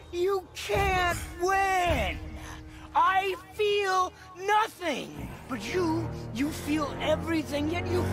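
An actor playing a cocky, unhinged younger male god in a video game, taunting in a mocking, drawn-out, theatrical way. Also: very high voice, exaggerated voice. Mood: bitter, scornful. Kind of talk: dramatic video game acting.

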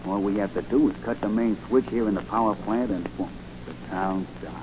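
A man's voice: lowish voice, steady tone, brisk, close to an everyday voice. An actor playing a cocky, slangy gangster henchman in 1940s radio style. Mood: gloating. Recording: noisy, muffled 1940 radio recording.

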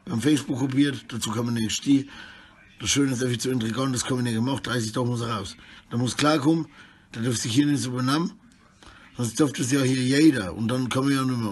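A middle-aged man with a husky voice, rambling disjointedly in a selfie monologue recorded indoors on a handheld phone. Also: medium pitch, steady tone, brisk. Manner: rambling, disjointed, often tags sentences with questions. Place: small room indoors. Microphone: phone held at arm's length.

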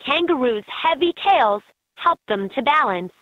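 A woman's voice: sits high, expressive, measured pace, fairly bright tone, dry recording, heavily acted. A woman reading scripted narration as the guide in a children's learning game, recorded as lo-fi toy audio. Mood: instructive.

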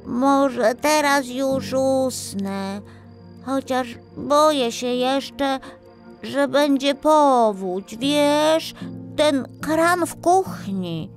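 A woman voicing a gentle, slightly anxious, sleepy little girl in a children's bedtime story, childlike and expressive. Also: sits high, very slow, faint music behind, voice pushed up, heavily acted. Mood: sleepy, worried.

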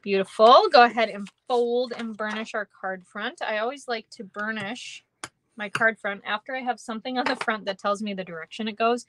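A woman's voice: on the high side, moderate pace, slight background noise. A young woman with a Minnesotan accent teaching a card-making class on a live stream, chatting with viewers in a giggly, rambling way. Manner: chatty, giggly, lots of ums and kindas. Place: indoor craft room.

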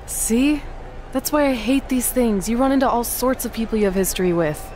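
A cranky young former wrestler grumbles sarcastically in a fairly high voice, voiced by a woman. A light hiss sits behind her in this game cutscene. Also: animated, moderate pace, a little mellow, natural voice. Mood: grumpy. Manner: grumpy, sarcastic.

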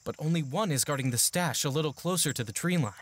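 An actor voicing a serious, curt young mercenary in a fantasy audio drama. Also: male voice, on the high side, expressive, quick pace, no room sound. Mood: focused, hushed. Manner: curt, earnest, tactical. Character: serious, gruff-mannered young male mercenary in training. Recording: faint music behind the voice.

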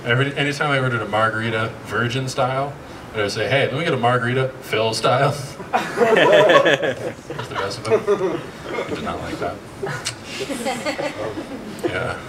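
Halting deadpan American comedian with a lively pitch. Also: male voice, heavy background noise. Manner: halting delivery with frequent fillers; deadpan self-deprecating jokes. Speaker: stand-up comedian. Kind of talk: stand-up set. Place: outdoor patio stage at night. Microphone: handheld mic. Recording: distant camera mic with loud crowd laughter. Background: audience laughter.

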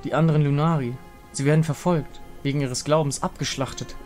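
Man voicing a solemn moon warrior woman, higher-pitched. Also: fairly even intonation, a little mellow, close to an everyday voice. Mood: earnest. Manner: grave, formal, measured. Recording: music behind the voice.

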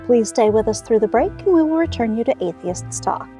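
A woman's voice: a little high, lively intonation, a little fast, mellow, soft background music. A woman hosting a radio talk show, asking interview questions.

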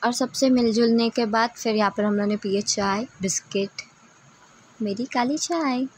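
Female travel vlogger, casual and chatty, higher-pitched, recorded with clear ambient noise. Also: moderate pace. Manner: chatty, addresses viewers as friends. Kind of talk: casual vlog narration.

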